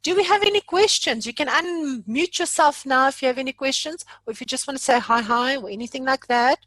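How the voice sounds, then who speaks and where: A woman giving an informal live online software walkthrough as a trainer, chatty and playful, prone to exaggeration. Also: high voice, fairly quick, dry recording, low-quality recording.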